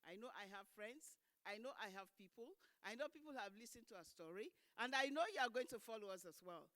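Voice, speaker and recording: Bright-voiced woman giving an earnest speech. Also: fairly high pitch, animated, fairly quick. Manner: earnest, repetitive phrasing for emphasis. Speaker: government tourism minister. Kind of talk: public speech at a conference.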